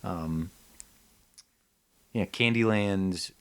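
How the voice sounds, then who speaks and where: A man co-hosting a comedy podcast, improvising pitches in casual, jokey banter and slipping into exaggerated bits. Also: extremely slow, fairly bright tone, clean tone. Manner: jokey, slips into mock voices and exaggerated bits. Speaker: podcast co-host.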